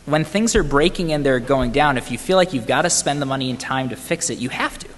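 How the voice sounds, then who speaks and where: A man with a faded Boston accent gives a conference talk in a chatty way. He keeps turning to the audience with questions and a quick "right?" His voice sits at an ordinary middle pitch. A long reverb from the large hall trails after his words. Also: lively intonation, a bit bright. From Boston area, US. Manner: conversational, asks the audience questions, says "right?" often. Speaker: conference speaker. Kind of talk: conference presentation.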